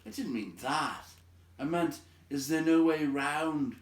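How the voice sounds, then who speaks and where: A man's voice: a little high, slow pace, reverberant, voice pushed up. A man voicing a small, anxious, reluctant hobbit from a storybook, with a pleading, groaning manner. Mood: anxious protest.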